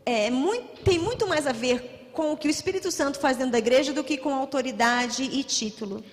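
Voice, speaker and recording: A Brazilian woman with a São Paulo accent interprets a sermon live in a church hall. She talks quickly and fluently, her voice high and bright, with a long reverb hanging behind her words. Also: animated. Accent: São Paulo Portuguese. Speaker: interpreter and pastor.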